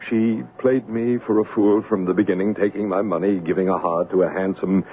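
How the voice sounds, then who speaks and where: An actor playing a dour, commanding and curt master of a great house in a 1970s radio drama. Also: male voice, sits low, fairly even intonation, a little fast, a little hiss or hum, close to an everyday voice. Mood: brooding, sardonic. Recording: tinny band-limited old radio recording.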